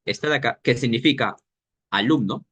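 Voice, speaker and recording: A man leads an informal language workshop. He talks at a middle pitch and works through each point aloud, stopping now and then to search for the right word. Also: a bit bright, breathy edge. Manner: explains thinking aloud, pausing to search for words.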